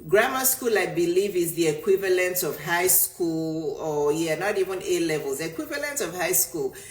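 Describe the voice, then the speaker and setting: A woman's voice: fairly low pitch, animated, normal speed. A middle-aged woman business coach from Nigeria, a native Yoruba speaker, giving an emphatic motivational talk in which she repeats her points for stress. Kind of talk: motivational talk answering a viewer. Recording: roomy echo.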